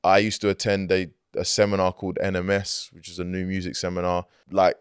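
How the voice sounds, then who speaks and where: A young man with a deep, smooth, resonant voice chats easily as an interview guest. His talk is laid-back and loose, full of filler phrases. The sound is close and dry, as in a studio. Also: completely flat delivery, a little fast, dry recording. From Greek heritage, grew up outside Greece. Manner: laid-back, peppered with "like" and "you know what I mean". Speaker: hip-hop artist guest. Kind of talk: casual interview chat. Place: recording studio.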